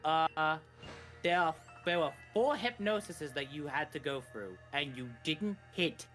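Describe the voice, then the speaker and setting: A man's voice: fairly high pitch, unhurried, fairly bright tone, faint music behind. A man co-commentating on a video game, casually explaining details as they come.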